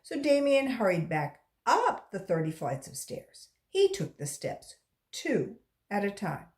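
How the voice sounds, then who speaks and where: A woman reading a children's story aloud as a volunteer, in a deliberate storytelling style. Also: medium pitch, wildly swooping pitch.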